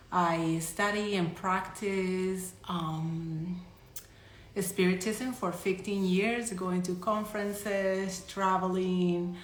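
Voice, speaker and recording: A woman in her thirties from Colombia with a Colombian Spanish accent, a psychic medium chatting loosely to her followers on a live stream with frequent restarts. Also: mid-range voice. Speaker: psychic medium and energy healer. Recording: echoey room.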